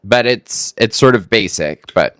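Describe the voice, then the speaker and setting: A man's voice: on the low side, lively intonation, a little slow, a bit bright, no echo. A man from Texas hosting a reaction video, making wry, skeptical remarks that stumble over restarts. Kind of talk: casual commentary on a clip.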